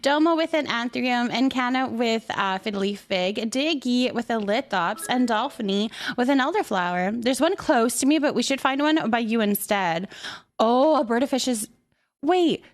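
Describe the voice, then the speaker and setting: A woman with a high-pitched voice hosts a casual livestream. She is chatty and bubbly, addressing her viewers with affection as she greets them. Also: animated, moderate pace. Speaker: live streamer hosting.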